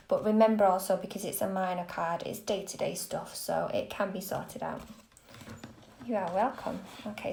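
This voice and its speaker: A woman reading tarot on a live stream, gentle and soothing, a little croaky from a cold. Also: medium pitch, a little hiss or hum. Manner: gentle, soothing, lots of okays. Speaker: tarot reader.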